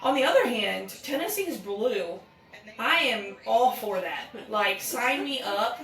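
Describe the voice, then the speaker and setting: A woman in her thirties with a raspy voice, co-hosting and giving casual live sports commentary in an enthusiastic, candid way. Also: a little high, expressive, slow, fairly bright tone. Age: 30s. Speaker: podcast co-host and amateur sports commentator. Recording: roomy echo, distant from mic.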